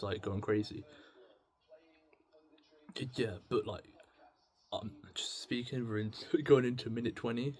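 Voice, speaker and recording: A young man with a slightly husky voice, rambling through a self-deprecating, slangy, sweary confessional video diary. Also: fairly low pitch. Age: young adult. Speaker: solo video diarist. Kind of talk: rambling, confessional casual chat to camera.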